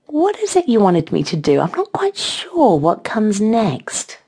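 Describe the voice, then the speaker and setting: A woman's voice: deep voice, lively intonation, unhurried, gravelly, darkish tone, exaggerated voice. A woman voicing a playful, self-assured seductive woman who talks in a sweetly teasing, mock-innocent way in an audiobook.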